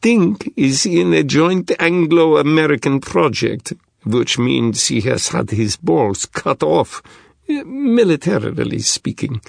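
A man's voice: fairly high pitch, sing-song, takes it slowly, creaky, no room sound, studio quality, voice pushed up, heavily acted. A man playing a wry young Russian strategist in an audiobook, with a thick Russian accent and clipped, sardonic phrasing that drops articles. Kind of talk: audiobook character dialogue.

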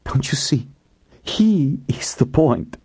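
A man voicing a grieving middle-aged woman set on revenge in an audiobook, calm and deliberate. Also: fairly low pitch, no room sound, pitched above the performer's own voice. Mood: tearful calm. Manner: calm, murmuring, deliberate, snapping when challenged.

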